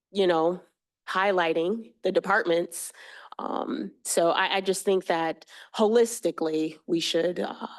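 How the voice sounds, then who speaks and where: A woman on a village board makes her case in an earnest, deliberate way, often pausing with "um" as she gathers her thoughts. Her voice sits slightly low. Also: darkish tone. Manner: deliberate, earnest, with frequent um pauses. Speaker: board member. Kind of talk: meeting discussion. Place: village board meeting room.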